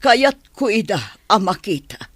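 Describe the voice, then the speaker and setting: A woman's voice: medium pitch, lively intonation, a little slow, a bit bright, no room sound. A woman playing a worried, earnest middle-aged Ilocano village mother in a radio drama, disapproving and concerned. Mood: concerned, kindly. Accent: Filipino, Ilocano.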